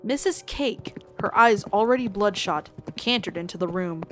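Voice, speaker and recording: A woman narrating a scripted story in an expressive storytelling style. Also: mid-range voice, normal speed. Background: music.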